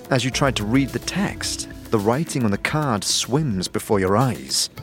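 A man giving scripted, storybook-like narration for a fantasy audio drama. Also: medium pitch.

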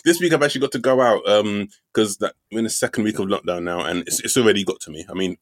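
A man's voice: fairly low pitch, fast, no room sound, clean studio sound. A young man from London, a comedian co-hosting a podcast, telling laid-back, joking stories with swearing and lots of fillers. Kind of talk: casual comedy podcast chat.

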